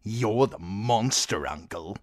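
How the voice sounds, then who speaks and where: A man voicing a spoiled, cruel teenage boy king who whines and shouts his demands. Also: wildly swooping pitch, unhurried, dry recording, clean studio sound, heavily acted. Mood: petulant. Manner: shrill, whiny, shouting demands.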